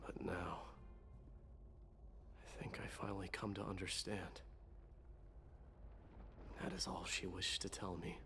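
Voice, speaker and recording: An actor playing a brooding exiled warrior prince in a game, with measured, solemn, formal speech. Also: male voice, low-pitched, level tone, background noise. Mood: brooding, resolved.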